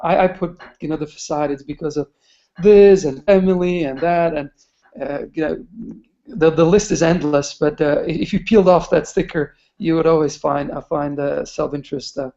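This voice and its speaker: A man's voice: on the high side, steady tone, moderate pace, mellow. A man sharing a personal story on a webinar, hesitant and searching for words. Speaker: webinar participant. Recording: muffled webinar call audio.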